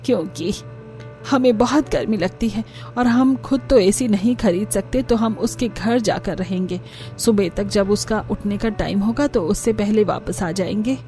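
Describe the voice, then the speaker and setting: Woman playing a coaxing, scheming mother-in-law, soft-toned. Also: mid-range voice, measured pace, faint music behind, lightly acted. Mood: brazen. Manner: coaxing, breezily dismissive. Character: scheming middle-aged mother-in-law, thrifty and brazen. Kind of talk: cartoon story character dialogue.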